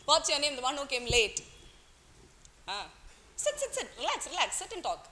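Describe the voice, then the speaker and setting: Woman, bright tense voice, playful teacher, classroom lecture. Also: high-pitched, a little hiss or hum. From Kerala, India. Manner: playful, teasing, coaxing students, mixes in Hindi words. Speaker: economics teacher.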